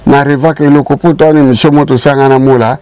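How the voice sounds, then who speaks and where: A man's voice: mid-range voice, fairly even intonation, quick pace, mellow, clear, resonant tone. An older man from Congo Kinshasa, seated in a small indoor studio, telling community history in emphatic casual talk. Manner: emphatic, mixes French and Lingala. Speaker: show guest and narrator of community history. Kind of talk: casual studio chat, storytelling.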